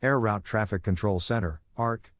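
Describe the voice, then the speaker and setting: Low-voiced man narrating a technical handbook. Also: normal speed, no room sound. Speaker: audiobook narrator.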